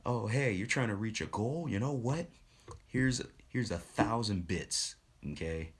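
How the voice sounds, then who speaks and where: A man talking casually as a live streamer, giving shout-outs in a halting, rambling, earnest way. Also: fairly low pitch, slightly rough.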